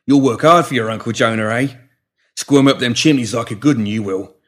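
A man's voice: mid-range voice, brisk, fairly bright tone, natural voice. A man voicing a cruel, gnarly slum uncle who snarls in coarse speech, in a storybook reading. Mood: gruff bullying.

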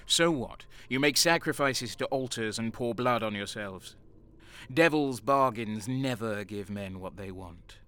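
A man acting as a defiant traitor guardsman, grim narration, crisp bright tone, fan audio drama. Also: medium pitch, measured pace, lightly acted. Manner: grim inner narration, heated in arguments. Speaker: narrator and lead character.

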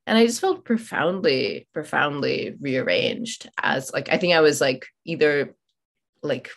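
Low-voiced thoughtful woman author. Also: lively intonation, measured pace, gravelly. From India, raised in Oman. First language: Malayalam. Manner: thoughtful, many fillers and restarts. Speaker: author guest. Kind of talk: podcast interview.

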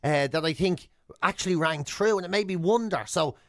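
A man with an Irish accent hosting casual, comic radio banter, telling stories in an animated way and repeating himself for emphasis. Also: on the high side, fairly quick, a little hiss or hum. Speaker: radio show host. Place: radio studio. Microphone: close broadcast microphone.

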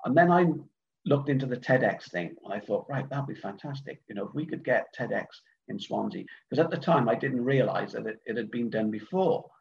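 A middle-aged man with a Welsh accent talks casually, wandering through his thoughts with frequent ums and false starts. His voice sits at an ordinary pitch. The sound is dull and lo-fi, like a remote online call. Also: animated, fairly quick, gravelly. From Wales. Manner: rambling, hesitant, many fillers and restarts. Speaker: guest, event organiser. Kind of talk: casual podcast conversation.

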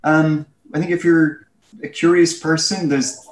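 A man's voice: fairly high pitch, level tone, measured pace. A man with an Irish accent giving articulate, explanatory interview answers in a storytelling way. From Ireland. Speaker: guest, head of a research firm. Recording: roomy, echoey sound.

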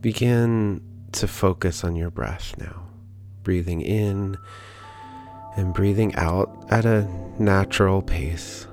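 A man leading a guided prayer meditation in a calm, gentle, unhurried manner with pauses. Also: low voice. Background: background music.

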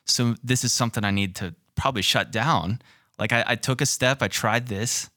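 A man's voice: medium pitch, normal speed, bright, forceful tone, no room sound, studio quality. A man co-hosting a casual podcast, affirming the others and reflecting back what they said. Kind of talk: casual chat.

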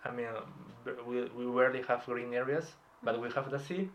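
A man in his thirties from Peru, talking in a relaxed, hesitant way as a podcast interview guest. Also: medium pitch, brisk, dull audio. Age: 30s. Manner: reflective, hesitant, with light laughs. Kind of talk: casual personal interview.

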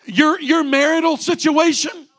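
A man's voice: extremely high pitch, fairly even intonation, measured pace, fairly bright tone. A man in his late forties, a pastor preaching a fervent sermon with rising repeated refrains. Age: 40s.

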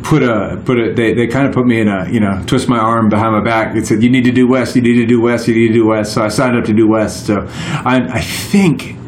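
A man's voice: fairly low pitch, reverberant, a little hiss or hum. A man in his forties, a guest on a podcast, telling his stories in a casual, rambling way with many restarts. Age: 40s. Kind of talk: casual chat.

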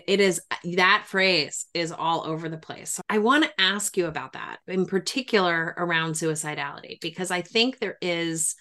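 A woman with a slightly low voice speaks as a podcast interviewer. She is earnest and explanatory, laying out her thoughts with emphasis in a reflective conversational style. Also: normal speed, few pauses, bright, forceful tone. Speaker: podcast interviewer, grief professional.

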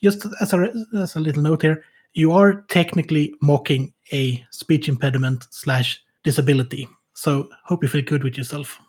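A man co-hosting a casual hobby podcast, speaking with a level, flat delivery. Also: on the high side, normal speed, husky. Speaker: podcast co-host.

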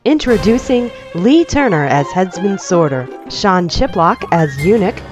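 A woman's voice: mid-range voice, very animated, measured pace. A woman reading scripted end credits as the announcer of an audio drama. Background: music.

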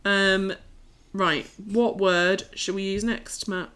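A woman's voice: medium pitch, fairly even intonation, takes it slowly, fairly bright tone, slight background noise. A woman co-hosting a podcast, chatting informally and reading out listings in a casual way. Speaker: podcast co-host.

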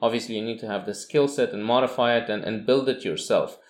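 A man's voice: lowish voice, quick pace. A man interviewing a guest, explaining points at length after hesitant starts. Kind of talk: interview questions. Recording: echoey room.

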